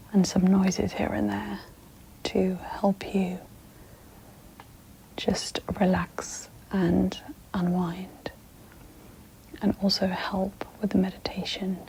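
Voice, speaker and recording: A woman guiding an ASMR sleep meditation in a breathy, mostly whispered voice, soothing and gentle, with long pauses. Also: medium pitch, slight background noise, poor audio.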